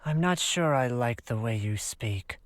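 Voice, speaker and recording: A man's voice: mid-range voice, animated, a little slow, dry recording, lightly acted. An actor playing a laid-back, confident young male artist with a cool manner in a visual novel game. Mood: defensive, cool.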